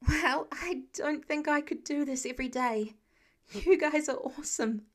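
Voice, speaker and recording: A woman voicing an earnest, eager teenage boy superhero with breathy urgency in an audiobook story. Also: high voice, unhurried. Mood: winded, friendly.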